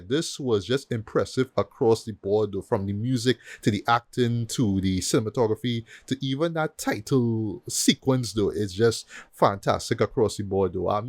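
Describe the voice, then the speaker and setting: A man with a medium-pitched voice hosts a casual review podcast. He talks conversationally, dropping tags like "though" and "right" into his sentences. The sound is dry, with very little room. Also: darkish tone, no echo. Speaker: podcast host.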